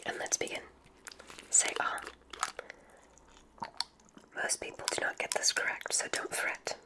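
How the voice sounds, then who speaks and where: A woman performing a witch examiner ASMR roleplay in a breathy whisper, with a gentle, soothing, deliberate and encouraging manner.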